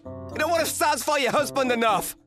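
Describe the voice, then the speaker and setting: A woman's voice: high voice, fairly quick, music in the background, lightly acted. A woman voicing a sullen, entitled husband in a manga dub, whining and defensive as he complains. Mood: whiny complaint. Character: sullen, whiny, entitled husband who complains about food.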